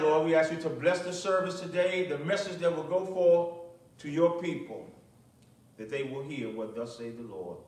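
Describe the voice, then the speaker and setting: A middle-aged man preaching and praying as a pastor, speaking in measured, deliberate short phrases into a pulpit microphone in a small church. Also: medium pitch. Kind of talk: sermon with prayer and commentary. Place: small, nearly empty church, at a pulpit indoors. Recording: echoey church, distant microphone.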